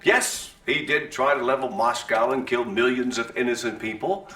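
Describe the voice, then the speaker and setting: An actor playing a solemn, self-important vice president in a game, giving a grave, hesitant speech. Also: male voice, mid-range voice, steady tone, normal speed, bright, forceful tone, close to an everyday voice. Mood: grave, defensive. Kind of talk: formal podium speech. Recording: echoey, distant podium sound.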